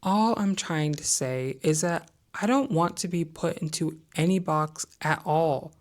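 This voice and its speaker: A woman's voice: low voice, measured pace, darkish tone. A young woman talking candidly to camera in a conversational vlog, sharing opinions with frequent hedges. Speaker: vlogger. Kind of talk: casual talking-head vlog.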